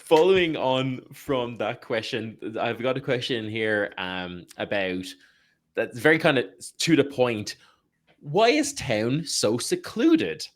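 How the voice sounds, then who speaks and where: A man's voice: mid-range voice, slow. A man hosting a podcast, casually reading listener questions and passing them to co-hosts. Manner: lively, reads listener questions. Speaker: podcast host. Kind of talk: casual chat.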